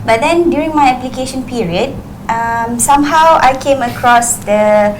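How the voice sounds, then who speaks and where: Young woman student answering interview questions, bit high voice, pauses a lot, noisy recording. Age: 20s. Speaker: university student guest. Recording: clearly noisy background.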